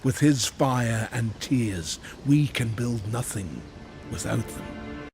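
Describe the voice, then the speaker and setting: A man playing a solemn old Maker in a fantasy game, telling ancient lore in a grave, deliberate manner. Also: mid-range voice, animated, gravelly, over music.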